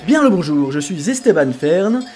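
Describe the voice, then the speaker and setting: A man's voice: on the high side, sing-song, normal speed, a little mellow. A man playing a polite, pompous travel reporter who is easily flustered, in a comedy sketch. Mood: pompous, cheerful. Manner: flowery, courteous, stammering when flustered. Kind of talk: parody travel reporting over music.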